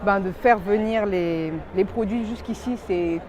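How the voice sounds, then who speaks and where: A woman from West Africa answering interview questions casually, pausing to search for her words. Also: mid-range voice. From born in Belgium, grew up in West Africa. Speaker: entrepreneur being interviewed. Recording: noisy urban ambience behind voice.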